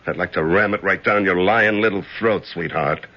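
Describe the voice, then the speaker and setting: An actor playing a cool, sardonic, menacing racketeer in a 1970s radio drama, with a husky voice. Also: male voice, low-pitched, moderate pace. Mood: threatening. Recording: dull old radio broadcast.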